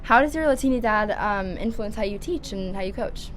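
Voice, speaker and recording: A woman's voice: mid-range voice, animated, fairly quick, a little hiss or hum, rough recording. A young woman hosting a casual school interview segment, keeping her prompts short and friendly. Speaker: student interview host.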